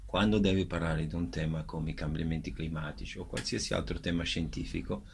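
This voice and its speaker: Guy with a really deep creaky voice, theatre director explaining stuff on radio, stops and restarts a lot. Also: steady tone, fairly quick, darkish tone, slight background noise. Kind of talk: radio interview, explanatory talk.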